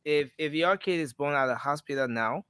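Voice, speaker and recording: A man's voice: a little high, moderate pace, sharp tone, smooth, no echo. A man hosting a live call-in audio discussion. He repeats words and cuts in to steer the talk. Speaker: host.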